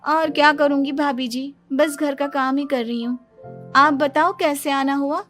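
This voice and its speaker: A woman's voice: high voice, fairly even intonation, a little slow, a bit bright, faint music behind. A woman voicing a self-pitying, boastful young wife in a cartoon story, complaining dramatically.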